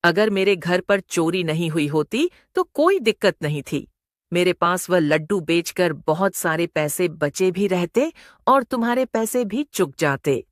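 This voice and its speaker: Woman voicing an earnest, lively cartoon mother elephant. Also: on the low side, unhurried, no room sound, studio quality. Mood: regretful. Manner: earnest, explanatory. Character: kind, selfless mother elephant. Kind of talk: Hindi animated moral story dialogue.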